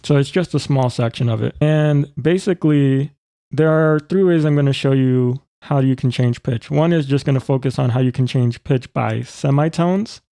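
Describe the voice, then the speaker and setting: A man walks through a software tutorial step by step in an informal way. His voice is gravelly and mellow, of middling pitch, recorded close and very dry. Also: moderate pace, dry recording. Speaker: tutorial presenter.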